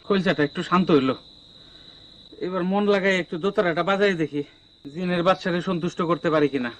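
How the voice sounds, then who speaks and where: A man's voice: sits high, brisk, a little hiss or hum, close to an everyday voice, rough recording. An actor playing a good-natured, half-mad village man in a comic drama, rambling earnestly in rural Bangladeshi Bengali. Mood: wounded.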